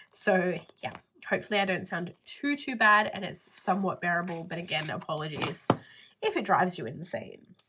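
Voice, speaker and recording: A woman's voice: fairly low pitch, a bit bright, slight background noise, poor audio. Sniffly, nasal woman chatting. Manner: chatty, rambling with "like" fillers. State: stuffy nose, sniffly. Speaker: planner vlogger. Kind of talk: casual haul video chat.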